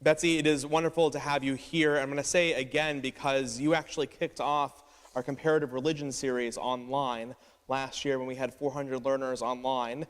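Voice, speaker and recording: A man, a rabbi, introducing a guest in a relaxed, conversational way. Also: medium pitch, moderate pace, smooth. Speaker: rabbi moderating a discussion. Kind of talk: casual introduction. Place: sanctuary. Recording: long hall reverb.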